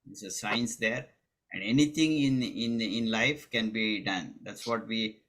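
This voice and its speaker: A man with a low but bright, forceful voice hosts a community meeting. He talks in a loose, halting way, restarting phrases and leaning on filler words. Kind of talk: casual meeting talk.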